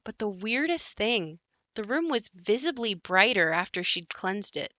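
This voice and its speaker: Uneasy grown daughter haunted by her childhood home, played by a woman; bright, forward voice, dry close sound, audio drama. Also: medium pitch, expressive, measured pace, dry recording. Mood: uneasy, reflective. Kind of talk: audio play monologue and dialogue.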